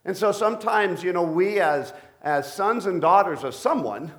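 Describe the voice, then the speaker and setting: Joking pastor with a deep voice. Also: male voice, animated, normal speed, fairly bright tone. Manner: playful, joking with the congregation. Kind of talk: sermon with corny jokes.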